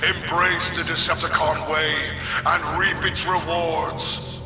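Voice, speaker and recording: An actor playing a pompous, tyrannical robot warlord in a video game, giving menacing, grandiose oratory. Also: male voice, on the high side, level tone, slow pace. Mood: pompous, commanding. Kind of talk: dramatic video game villain acting. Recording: echoey hall reverb, music behind.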